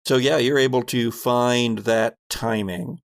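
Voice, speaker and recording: A man's voice: mid-range voice, fairly even intonation, measured pace, noisy background. A man working as game master, narrating a tabletop horror role-playing game in a conversational, descriptive storytelling way.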